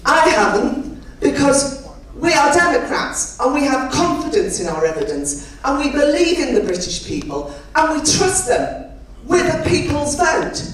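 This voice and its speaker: High-voiced woman rallying a crowd. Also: very animated, a little slow, distant mic. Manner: rousing, exhortative, rallying. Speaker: politician at a campaign rally. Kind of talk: rousing rally speech. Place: large indoor hall at a rally. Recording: echoey hall, mic from afar.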